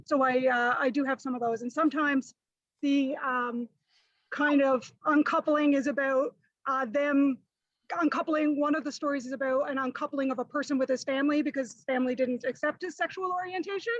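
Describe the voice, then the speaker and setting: A woman's voice: high voice, steady tone, normal speed, no room sound, muffled recording. A woman author answering questions about her stories, hesitant and searching for words. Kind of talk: answering questions on a video call.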